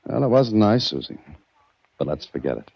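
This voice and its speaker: An actor playing a composed, world-weary amateur sleuth in an American accent, in 1940s radio drama style. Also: male voice, lowish voice, very animated, normal speed, vocal fry. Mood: weary, wry. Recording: dull vintage 1940s radio recording.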